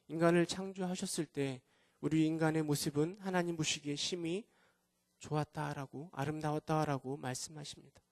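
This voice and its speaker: A male pastor preaching a sermon at a church prayer meeting, hoarse from a cold, earnest and putting rhetorical questions to the congregation. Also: a little high, darkish tone, dry recording, studio quality.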